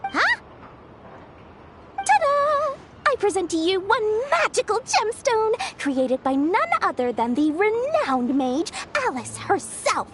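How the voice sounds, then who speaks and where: An actress voicing a greedy, cheerful young female merchant in a game, talking in a bubbly sing-song sales pitch. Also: big pitch swings, slow pace, a bit bright, a little hiss or hum, heavily acted. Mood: cheery salesy. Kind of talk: video game dialogue.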